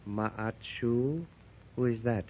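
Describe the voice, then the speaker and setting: An actor playing a scheming Egyptian adventurer who speaks in a measured, sly and formal way in a 1930s radio drama. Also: male voice, medium pitch. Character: smooth scheming Egyptian adventurer, adult man. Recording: tinny old 1930s radio recording.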